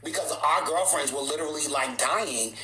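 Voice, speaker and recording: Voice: mid-range voice, moderate pace, reverberant, a loud crowd. A livestream host, born in Trinidad and raised in Boston, talking bluntly and sassily to viewers. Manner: blunt, sassy, outspoken. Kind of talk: livestream rant answering viewers.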